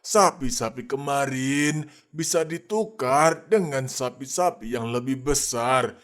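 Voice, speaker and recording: A man voicing a calm, polite middle-aged male village cleric in a cartoon, speaking softly and hesitantly. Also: medium pitch, slow. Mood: gentle. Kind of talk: cartoon voice acting.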